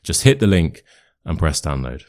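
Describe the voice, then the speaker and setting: British guy w a cold, nasal and very low voice, just rambling casually to camera. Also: moderate pace, slight background noise. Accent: British English. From England. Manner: rambling, relaxed, answers questions aloud. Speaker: English teacher.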